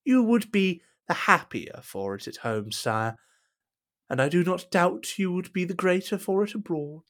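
An actor playing a scheming, falsely humble cardinal in an audio drama, with a theatrical, unctuous manner. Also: male voice, high voice, animated, unhurried, a bit bright. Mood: mock humble.

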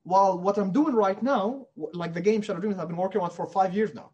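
Male indie developer, high-pitched and slightly raspy, earnest and emphatic in a conversational interview. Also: brisk, a little mellow, muffled recording. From Middle East.

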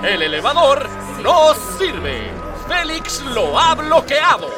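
Squeaky high servile henchman, slow n melodramatic, radio play, music behind. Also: very animated, heavily acted. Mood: urgent. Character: servile, dramatic henchman of an emperor. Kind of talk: comic radio drama. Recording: distant mic under clear music.

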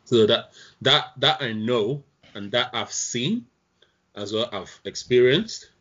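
A man in a casual group discussion, speaking in a measured, reflective way with frequent pauses. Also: medium pitch, fairly bright tone. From outside the UK. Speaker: panel guest. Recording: dull lo-fi sound.

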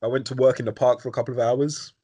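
A man, hosting a podcast in quick, laughing, chummy conversation. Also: mid-range voice, flat delivery, dark tone, slightly rough. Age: 30s. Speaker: podcast host. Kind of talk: casual chat.

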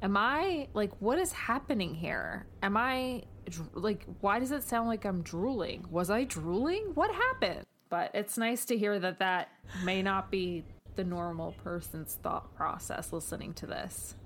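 A woman's voice: medium pitch, very animated, measured pace, fairly bright tone. A woman co-hosting a podcast, chatting casually in an informal, self-deprecating way. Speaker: podcast co-host interviewing a guest. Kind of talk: casual chat. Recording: faint background noise.

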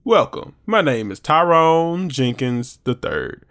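A man voicing a smug male infomercial pitchman in a comedic fake ad, with a mock-commercial delivery. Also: very animated, slow, fairly bright tone, clean tone, heavily acted.

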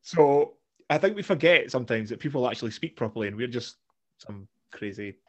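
A man's voice: mid-range voice, expressive, a little fast, a bit bright. A Scottish man chatting casually, blunt and sweary, rambling with restarts. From Scotland. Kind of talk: casual chat.

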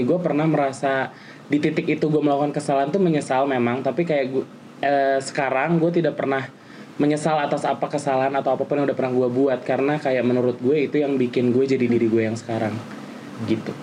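Guy mid 20s, average pitch, casual talk with bits of english, slight background noise. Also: darkish tone, poor audio. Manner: mixes in English phrases. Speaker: panel participant, event MC.

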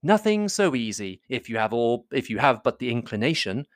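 A man's voice: mid-range voice, very animated, moderate pace, dry recording, close to an everyday voice. Witty young woman, voiced by a male reader; playful, dry close recording. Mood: teasing.

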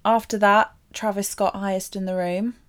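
A young woman co-hosting a podcast, speaking softly in casual chat and laughing often. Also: medium pitch, level tone, moderate pace. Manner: soft-spoken, laughs often. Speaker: podcast co-host.

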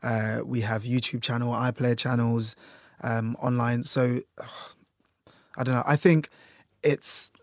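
A man's voice: medium pitch, flat delivery, takes it slowly, no room sound. A man from Jamaica with a Jamaican accent, a radio news presenter chatting loosely in an interview, dry in humour and full of fillers. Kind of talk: relaxed interview chat.

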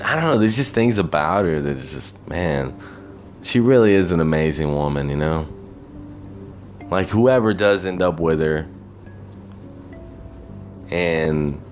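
A man talking alone in a rambling, reflective way, wistful and full of "you know", with faint music behind him. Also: a bass voice. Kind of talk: rambling personal monologue.

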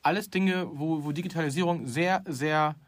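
A man's voice: a little high, normal speed. A middle-aged man co-hosting a casual talk show, opinionated and emphatic, with many restarts. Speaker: podcast co-host. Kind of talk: casual chat.

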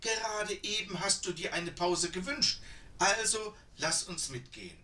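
A man's voice: high voice, lively intonation, unhurried, sharp tone, roomy sound, distant mic, pitched above the performer's own voice, exaggerated voice. A man voicing a tough, cheerful young woman hiker with an upbeat, encouraging manner.

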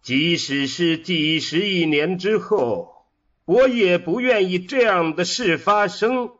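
Guy acting a curious sad immortal mind in a radio drama, lively pitch swings but really slow. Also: dull audio, heavily acted. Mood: sad, troubled. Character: immortal disembodied human mind, curious and melancholy. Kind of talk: audio drama dialogue.

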